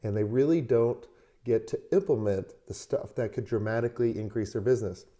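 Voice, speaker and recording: A man hosting a solo advice podcast, his voice still hoarse as it recovers, coaching listeners in an upbeat, direct way. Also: medium pitch, normal speed, warm tone. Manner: upbeat motivational coaching, talks straight to listeners. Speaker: podcast host.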